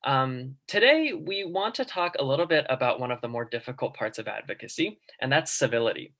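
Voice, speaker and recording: A man's voice: mid-range voice, lively intonation, a little fast, fairly bright tone. A young man giving a class presentation over a video call, telling a personal story earnestly with frequent ums. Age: young adult. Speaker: student guest presenter.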